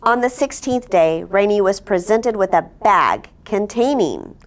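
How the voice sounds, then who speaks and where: A middle-aged woman reading a picture book aloud to children, expressive, with explaining asides and check-in questions. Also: mid-range voice, unhurried. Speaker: story time host reading aloud. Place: indoor studio set, seated at a table. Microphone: lapel.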